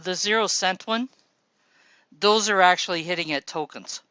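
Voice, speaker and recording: A man hosting a casual voice-chat show, relaxed and helpful, talking through people's posts. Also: sits high, normal speed, smooth, no room sound, highs cut off. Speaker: show host.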